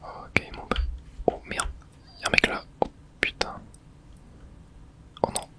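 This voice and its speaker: A gamer gives ASMR let's play commentary entirely in a breathy whisper. The sound is bright and airy, with no real voicing. The delivery is informal, muttered reactions to the game with the odd mild swear word. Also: slow pace. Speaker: gamer streaming playthrough for viewers.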